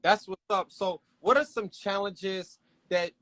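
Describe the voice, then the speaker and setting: A man's voice: high-pitched, measured pace, sharp tone, no echo. Friendly, slangy male interview host. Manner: friendly, hype, uses slang. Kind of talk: casual interview.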